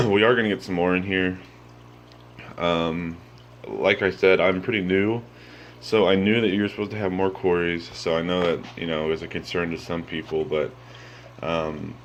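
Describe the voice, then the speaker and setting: A young man with a very deep, low voice who has just woken up and sounds sleepy. He rambles in a relaxed way, full of ums, while filming his home aquariums on a handheld phone. A faint hum sits behind him. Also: dull audio. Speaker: aquarium vlogger. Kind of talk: casual walkthrough vlog. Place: home room with fish tanks, indoors. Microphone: handheld phone camera.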